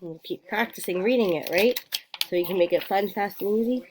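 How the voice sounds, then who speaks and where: A woman's voice: medium pitch, brisk. A mother speaks in a casual, instructive way to a young child practicing reading. Her manner is encouraging, full of short prompts and questions. The home recording has faint noise and small clicks.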